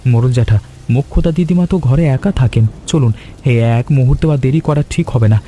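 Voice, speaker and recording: Young village son for animation, male performer: brave, eager to help, earnest, soft-edged timbre. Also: mid-range voice, a little fast, a little hiss or hum, lightly acted. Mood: urgent, determined. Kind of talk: animated story dialogue.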